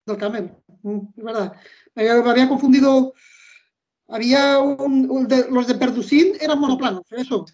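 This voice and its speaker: High, excitable man on a video call. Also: moderate pace. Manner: excitable, animated, laughs. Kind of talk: casual expert chat.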